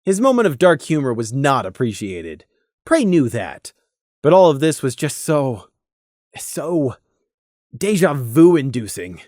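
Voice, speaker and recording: A woman reading scripted story narration in an expressive, dramatic way, as an audiobook narrator. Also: rumbling low, a little slow, no echo, clean studio sound.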